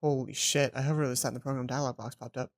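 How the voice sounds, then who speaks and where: A let's play host reading visual novel text aloud and commenting on it, with dramatic, expressive delivery and drawn-out emphasis. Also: mid-range voice. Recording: music behind the voice.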